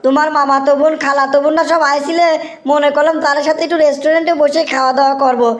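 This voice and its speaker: A woman's voice: high-pitched, fairly even intonation, lightly acted. A woman doing a whiny scheming young man. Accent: Bogura dialect of Bangla. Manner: fast, whiny and wheedling, comic rants. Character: scheming broke young man, boyfriend and son.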